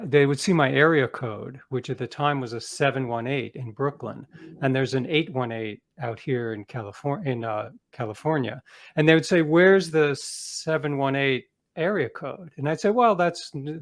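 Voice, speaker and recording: A middle-aged man speaking as an interview guest, telling anecdotes conversationally with self-deprecating asides. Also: mid-range voice. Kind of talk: casual anecdotal storytelling.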